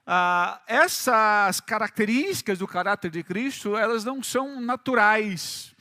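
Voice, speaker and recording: High, bright-voiced Brazilian pastor preaching. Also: male voice, expressive, unhurried. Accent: Brazilian Portuguese. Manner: emphatic, repeats phrases, swings between loud and soft. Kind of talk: sermon.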